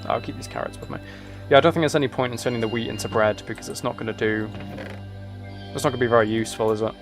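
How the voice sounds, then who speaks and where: A man's voice: mid-range voice, fairly even intonation, rapid-fire, creaky, soft background music. A man chats casually with viewers as he plays a game, rambling and musing aloud. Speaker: live streamer. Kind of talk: casual chat with viewers while gaming.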